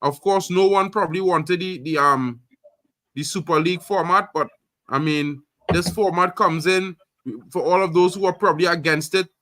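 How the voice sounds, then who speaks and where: A high-voiced man from Trinidad runs a live fan stream, talking loudly and with lots of energy. He leans on fillers and repeats himself as he goes. His tone is bright and forceful, and the sound is close and dry. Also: moderate pace, no room sound. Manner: animated, loud, repeats fillers like "you know what I mean". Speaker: host. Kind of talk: casual live-stream chat.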